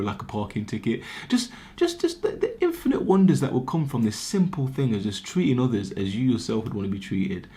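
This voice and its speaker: A man's voice: on the low side, darkish tone. A man in his twenties giving an earnest, impassioned rant straight to camera, often repeating words as he thinks. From Britain. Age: 20s. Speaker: vlogger. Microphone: camera mic, talking to camera.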